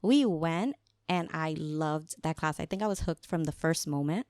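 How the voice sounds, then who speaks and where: A woman's voice: sits low, normal speed, a little mellow, no echo, clean studio sound. A woman, chatting casually as a podcast guest, often laughing and slipping in Spanish phrases. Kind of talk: casual conversation.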